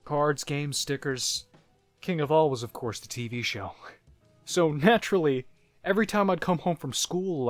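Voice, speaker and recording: A man voicing a haunted narrator who looks back on disturbing childhood memories in a first-person horror story, halting and reflective, like a confession. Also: mid-range voice, dry recording, natural voice. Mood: wistful, reminiscing. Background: music.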